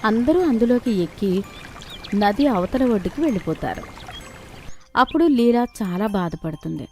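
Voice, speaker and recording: A woman's voice: on the high side, animated, moderate pace, darkish tone, faint music behind. A woman storyteller giving scripted narration for a children's cartoon tale.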